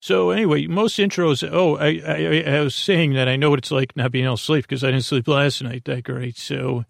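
A man with a creaky voice hosting a bedtime podcast, rambling in a halting, soothing way full of tangents and trailing thoughts. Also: a little high, fairly even intonation, no echo, studio quality. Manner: soothing, lulling. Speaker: podcast host.

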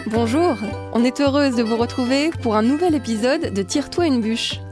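A woman from France co-hosting a travel podcast in a bright, upbeat way. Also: on the high side, normal speed, over music. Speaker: podcast co-host. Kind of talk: scripted travel podcast talk.

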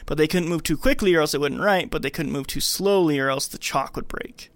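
A man with a fairly high-set voice retells a movie as a podcast host. He talks informally and with animation, slipping into slang. The sound is dry, with very little room on it. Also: brisk, dry recording. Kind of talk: casual movie recap, retelling scenes with acted quotes.

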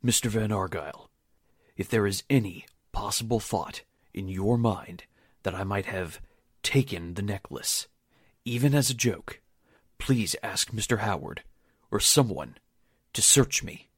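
Man playing young corporal, earnest, indignant, slightly low voice, dry recording, 1940s radio drama. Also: measured pace, husky, no echo. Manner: earnest, stammering under pressure.

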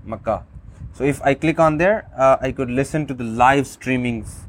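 A man whose first language is Urdu, calmly demonstrating a phone app, with many fillers and restarts. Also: mid-range voice, normal speed. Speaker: app reviewer.

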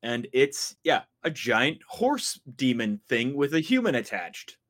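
A man's voice: mid-range voice, big pitch swings, unhurried, a bit bright. A man hosting a casual podcast, introducing the topic and explaining things in a loose, rambling way. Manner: easygoing, rambling, lots of fillers. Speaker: podcast host.

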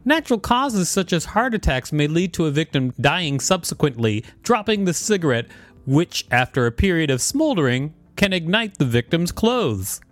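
A man co-hosts a podcast, reading an article aloud with a lively, swinging pitch and casual banter. The sound is dry, with no room around the voice. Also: normal speed, no room sound. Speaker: podcast co-host.

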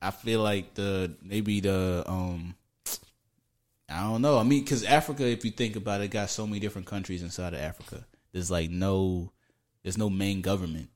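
A man's voice: deep voice, steady tone, moderate pace, a little mellow. A man, co-hosting a podcast in emphatic, slangy, profane casual chat. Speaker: podcast co-host. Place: Atlanta east side.

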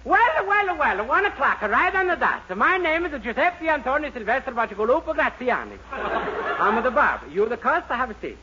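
A man's voice: extremely high pitch, big pitch swings, normal speed, pitched above the performer's own voice. A man putting on a breezy, overconfident barber with a mock Italian accent for a 1940s radio comedy. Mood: breezy, bluffing. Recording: dull, noisy 1940s radio recording.

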